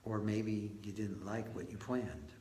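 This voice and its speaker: Slow, low-voiced man guiding meditation, roomy echo. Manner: gentle invitations posed as questions, with long pauses. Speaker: guided meditation teacher. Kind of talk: guided meditation led live, slow and spoken in short phrases. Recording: roomy echo with faint background noise.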